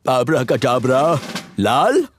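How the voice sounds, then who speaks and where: A man's voice: mid-range voice, sing-song, takes it slowly, over loud music. A man voicing a jolly father pig who plays a grand stage magician in a children's cartoon, theatrical and playful. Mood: grandly theatrical. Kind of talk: children's cartoon dub dialogue.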